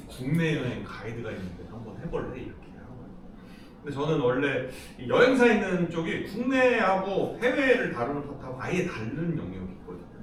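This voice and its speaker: A man's voice: on the high side, distant mic, slight background noise. Man telling stories in an echoey hall. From Seoul, South Korea. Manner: chatty, lively storytelling with frequent laughs. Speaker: lecture speaker. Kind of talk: casual storytelling lecture. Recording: echoey hall, speaker off-mic.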